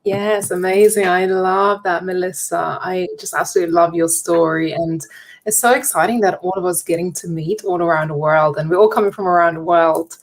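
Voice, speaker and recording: A woman's voice: on the low side, steady tone, normal speed, a little hiss or hum. A woman in her thirties from Lithuania, a Lithuanian native speaker, openly sharing her story on a video call with many fillers. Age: 30s. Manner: open, reflective, many fillers. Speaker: guest author.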